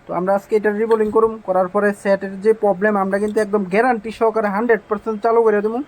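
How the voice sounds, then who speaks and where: A man's voice: sits high, level tone, rapid-fire, a little mellow. A man with a Tripura Bengali accent giving an informal phone repair demonstration as a technician, with repetitive, emphatic assurances.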